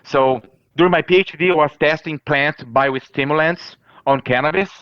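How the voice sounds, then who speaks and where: A man in his thirties from Brazil with a Brazilian accent, a professor answering interview questions in halting speech full of fillers and restarts. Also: fairly high pitch, clear, resonant tone, muffled recording. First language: Portuguese. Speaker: professor guest.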